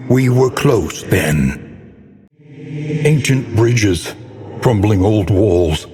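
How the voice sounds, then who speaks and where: Brooding older gothic narrator, low-pitched, solemn and theatrical, measured literary diction, long echoing reverb, dark fantasy game. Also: male voice, lively intonation. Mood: wistful, solemn. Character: grave, brooding, scholarly older male narrator of doom.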